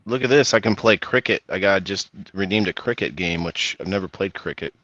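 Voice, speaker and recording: Deep-ish guy cohosting a stream, quick jokes and reactions. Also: a bit bright, no echo, highs cut off. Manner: playful quips, reacts to games. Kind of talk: casual livestream chat.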